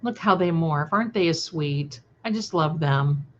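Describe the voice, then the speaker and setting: A woman hosting a casual livestream, chatting to viewers in an affectionate, effusive and somewhat halting, scattered way. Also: sits low, a little hiss or hum. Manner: affectionate, effusive, addressing listeners with endearments. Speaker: livestream host and creator. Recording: dull, lo-fi livestream audio.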